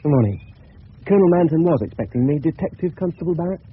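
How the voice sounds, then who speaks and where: An actor playing an unassuming, deferential English detective constable, plain-spoken and a little hesitant, in a radio drama. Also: male voice, fairly high pitch, lively intonation, quick pace, close to an everyday voice. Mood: musing. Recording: very noisy tinny old radio recording.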